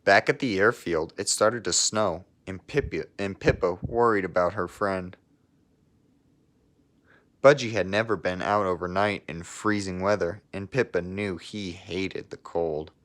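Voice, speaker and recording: Low-voiced man reading a children's storybook. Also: a little slow. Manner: expressive, with asides to listeners. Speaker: storybook reader. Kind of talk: children's picture book read-aloud.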